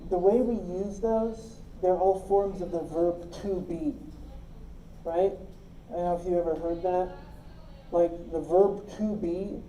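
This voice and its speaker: A woman lectures to a class, walking through each point and pausing to ask whether her students follow. Her voice is low-set and very dark and mellow. The room is echoey, with a faint hiss underneath. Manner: explains step by step, checks understanding. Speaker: logic teacher.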